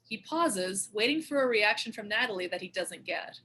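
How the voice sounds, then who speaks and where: A woman's voice: mid-range voice, expressive, normal speed, pushed and bright, slightly rough. A woman reading stage directions as scripted narration for a screenplay table read.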